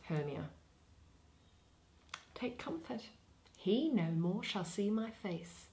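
A young woman reading a play in verse aloud, dramatically and expressively, pausing between phrases. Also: medium pitch, sing-song, warm tone. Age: young adult. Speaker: reader of a Shakespeare play. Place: small plain room indoors.

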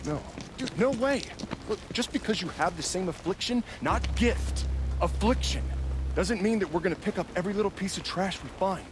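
Righteous older brother cop in a game, stern, highish swingy voice, loud noise behind. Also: male voice, lively intonation, normal speed. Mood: indignant, emphatic. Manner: earnest, moralizing, emphatic. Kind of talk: video game voice acting.

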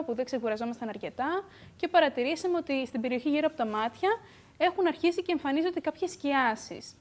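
A young woman, a beauty expert, explaining calmly in a TV studio on a lapel mic, with the odd "uh" filler. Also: fairly high pitch. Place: bright TV studio.

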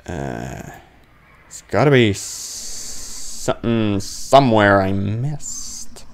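A male gamer thinks aloud through a horror game in a low, creaky voice. He talks slowly and grumbles under his breath, then breaks out in sudden exclamations. The delivery is casual and unscripted. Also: big pitch swings. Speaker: let's play gamer.

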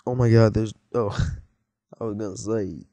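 A man's voice: fairly low pitch, steady tone, unhurried, mellow, dry recording. A teenage boy giving casual, rambling commentary while playing a game, easily startled and joking with viewers. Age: teens. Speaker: gameplay commentator. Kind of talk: casual let's-play commentary.

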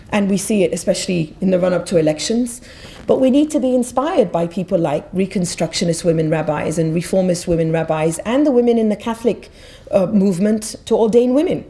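Woman from Egypt, middle-aged, podium speaker, mellow voice, fervent and emphatic delivery. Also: medium pitch. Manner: passionate, emphatic, repeats words when restarting. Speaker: guest speaker. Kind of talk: public talk at a podium. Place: auditorium stage. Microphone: podium mic.

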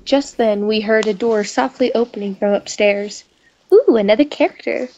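A woman's voice: fairly high pitch, moderate pace, warm tone, dull audio, a little hiss or hum. A playful, giggly woman hosting a casual gaming playthrough, chatting and reading story text aloud. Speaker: gaming video host. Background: music.

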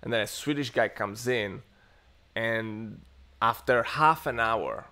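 A man's voice: mid-range voice, slow pace, pushed and bright. A man in his thirties from Italy, chatting casually and rambling with lots of fillers on a tech livestream, recorded in a home study on an earphone mic. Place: home study lined with bookshelves. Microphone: earphone headset mic on a webcam stream.

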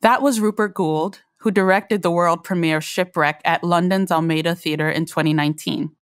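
A woman's voice: on the low side, fairly even intonation, normal speed, no room sound, clean studio sound. A woman reading scripted narration as the host of an audio program note.

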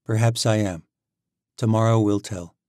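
A man reading aloud voices Alec, a calm and earnest young shaman who speaks steadily. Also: fairly low pitch, normal speed, no echo, clean studio sound, natural voice.

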